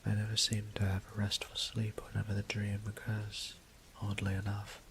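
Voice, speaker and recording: A man playing a gentle, apologetic old vampire priest in an intimate audio drama, speaking in formal, hesitant phrases with a breathy half-whisper. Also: lowish voice, completely flat delivery, moderate pace, a little hiss or hum. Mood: weary, reassuring. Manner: hesitant, formal old-fashioned wording, tender.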